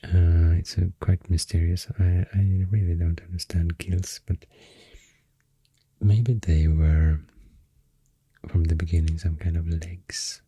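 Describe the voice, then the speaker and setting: A man with a Swedish accent softly rambling through an ASMR explanation, breathy and often near a whisper, soothing and hesitant in manner. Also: deep voice, flat delivery, takes it slowly, no room sound. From Sweden. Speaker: ASMR host.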